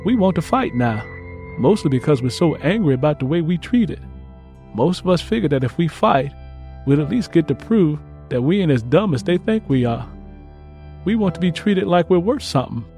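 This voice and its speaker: A man's voice: on the high side, sing-song, normal speed, dark tone, faint music behind. A man playing an earnest young Mississippi field hand turned soldier, reading out letters home with plain rural phrasing in an audiobook. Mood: earnest, reflective. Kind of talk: first-person letter read in audiobook.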